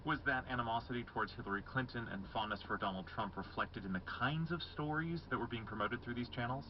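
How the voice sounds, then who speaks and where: A man hosting a radio news program, speaking in a crisp, scripted way. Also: mid-range voice, fast, muffled recording, slight background noise. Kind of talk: news broadcast.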